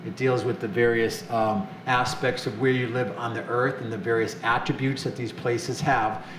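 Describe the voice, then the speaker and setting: A man's voice: mid-range voice, fairly even intonation, normal speed. Guy hosting a chat show, upbeat and chatty, lots of ums, echoey room, bit of hiss. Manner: enthusiastic, upbeat, rambling with fillers. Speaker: show host. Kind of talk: casual interview chat.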